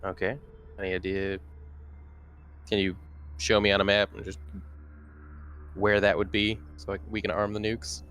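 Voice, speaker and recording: A man's voice: lowish voice, monotone, normal speed, pauses often, fairly bright tone, a little hiss or hum. A man chatting casually as a player in a tabletop roleplaying game.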